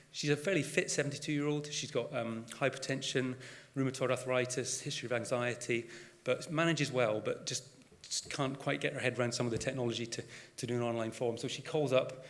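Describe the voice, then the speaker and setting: A Scottish man gives a conference talk in a casual, unhurried way, often restarting his sentences. His voice sits at an ordinary pitch for a man. A long reverb from the large hall trails behind his words. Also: fairly even intonation. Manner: conversational, with frequent restarts and fillers. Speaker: GP and conference speaker. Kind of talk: conference presentation.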